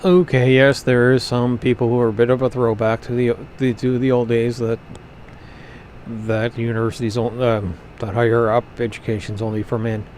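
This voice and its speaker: A man's voice: mid-range voice, level tone, moderate pace, a little mellow, a little hiss or hum. Hesitant male podcast host. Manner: hesitant, restarts sentences. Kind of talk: casual commentary.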